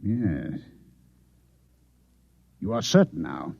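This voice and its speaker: Creepy formal martian agent in old radio play, very up and down delivery, phone-like sound. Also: male voice, low voice, big pitch swings, gravelly, husky, dry recording, exaggerated voice. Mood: cold, menacing. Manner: formal, measured, quietly menacing. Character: cold, controlling Martian agent posing as a reporter. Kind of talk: golden-age radio drama acting. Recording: vintage radio broadcast, narrow phone-like band.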